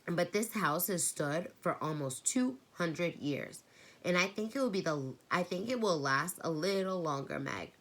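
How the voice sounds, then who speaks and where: A steady, capable mother who answers worries lightly, as a woman reads her lines. Her voice stays low and even, close to the reader's own. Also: unhurried, heavily acted. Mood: calm.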